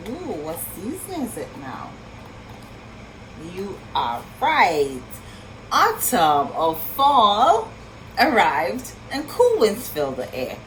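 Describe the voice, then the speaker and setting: A woman reading a story aloud for young children in an expressive, sing-song way, pausing to ask her listeners questions. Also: mid-range voice, slight background noise, poor audio. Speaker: children's storytime reader and teacher.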